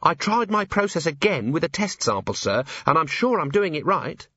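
A man's voice: fairly high pitch, sing-song, moderate pace, fairly bright tone, breathy edge, no echo, highs cut off, pitched above the performer's own voice, heavily acted. A man voicing a timid, earnest young female dwarf officer in an audiobook, eager and deferential. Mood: nervous, earnest.

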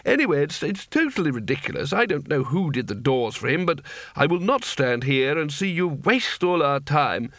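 A man's voice: a little high, big pitch swings, moderate pace, a bit bright, dry recording, clean studio sound. A man voicing a stern, wiry male wizard who talks in a severe, clipped, disapproving way.

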